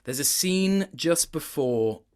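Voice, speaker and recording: A man's voice: a little high, animated, slow, a little mellow. A man chatting casually as an interview guest, reflective and hesitant as he thinks aloud. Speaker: guest, game voice actor.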